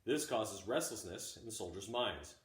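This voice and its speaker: Guy with a lowish voice reading an old book out loud to students, measured, kinda echoey room. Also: animated. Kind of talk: audiobook-style read-aloud of a classic text. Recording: roomy, echoey home recording.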